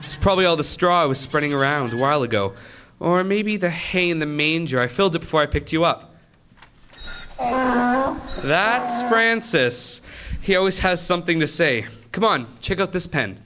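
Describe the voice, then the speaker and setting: Student guy doing earnest lovestruck farm kid, radio play, bright voice, dull noisy audio. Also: fairly high pitch, lively intonation, moderate pace. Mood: earnest, proud. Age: teens. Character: eager, geeky, lovestruck teenage farm boy. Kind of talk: live school radio play.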